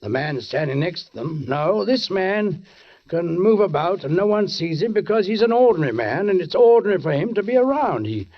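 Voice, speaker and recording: An actor playing a suspicious, deliberate police sergeant who narrates a murder case in a 1940s radio drama, with measured, pointed pauses. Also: male voice, high-pitched, big pitch swings. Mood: knowing, pointed. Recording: dull 1940s radio recording.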